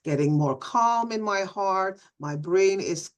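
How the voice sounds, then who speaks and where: Middle-aged woman, soft and reflective, laughs a lot, video call sound. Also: medium pitch, animated, slow pace. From Suriname. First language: Dutch. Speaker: webinar participant. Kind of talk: personal sharing on a video call.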